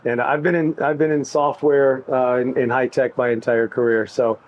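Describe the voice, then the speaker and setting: A middle-aged man talking about his work in an easygoing, halting way, with many restarts. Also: medium pitch, little pitch movement, slight background noise. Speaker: tech executive, interviewee. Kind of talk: casual backstage interview.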